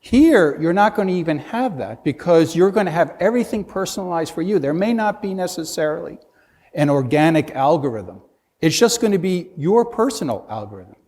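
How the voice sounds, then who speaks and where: A man's voice: fairly high pitch, very animated, a little fast, darkish tone. Man arguing on a panel in a reverberant hall. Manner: earnest, argues with rhetorical questions and restarts. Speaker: conference panelist. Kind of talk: panel discussion. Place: conference hall.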